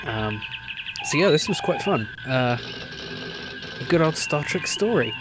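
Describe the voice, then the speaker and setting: A man's voice: on the high side, very animated, unhurried, noisy background. A man hosting a gaming video, giving casual, musing and slightly hesitant commentary as he plays. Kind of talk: casual let's play commentary. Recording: game music behind the voice. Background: video game music.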